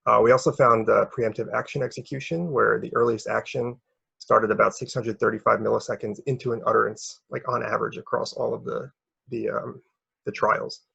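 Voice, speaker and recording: Gravelly, husky man giving a research talk. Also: mid-range voice, steady tone, brisk, sharp tone, dry recording, dull audio. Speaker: researcher presenting a paper. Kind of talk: academic conference presentation.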